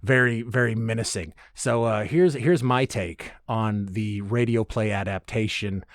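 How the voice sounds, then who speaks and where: A man's voice: fairly low pitch, unhurried, no echo. Dry-sounding man, casual and emphatic. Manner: animated, emphatic, freely swearing. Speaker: podcast host. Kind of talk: casual solo podcast talk.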